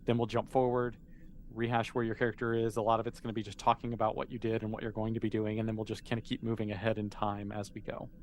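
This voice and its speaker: A man's voice: lowish voice, monotone, fast talker, slight background noise. A man game mastering a tabletop role-playing game, explaining and narrating in a casual, informal way.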